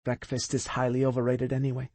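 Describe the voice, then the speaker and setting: A man voicing a young woman who speaks gently and earnestly, reading in an audiobook. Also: medium pitch, monotone, fast talker, no room sound, voice pushed up, natural voice. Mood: tender.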